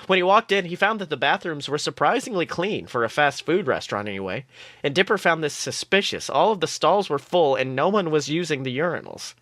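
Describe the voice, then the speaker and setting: A man hosting a show, reading comic fan fiction aloud in an expressive, playful storytelling way. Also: fairly high pitch, fairly quick, dry recording. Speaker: podcast host.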